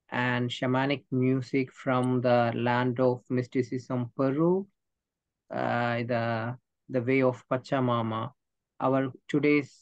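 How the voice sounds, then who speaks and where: A man's voice: mid-range voice, no room sound. A man hosting a video call session, speaking formally and offering short encouraging replies. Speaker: host. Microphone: video call webcam. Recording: dull dry webcam audio.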